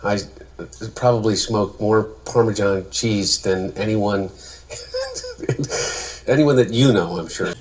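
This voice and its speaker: A man giving a candid personal recollection in a halting, unhurried manner. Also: on the low side, a little mellow, poor audio.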